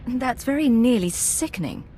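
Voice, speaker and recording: A woman's voice: fairly high pitch, lively intonation, normal speed, dark tone, a little hiss or hum, close to an everyday voice. A woman playing a principled undercover intelligence agent in a video game, cool, concise and controlled. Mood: cool, resolved.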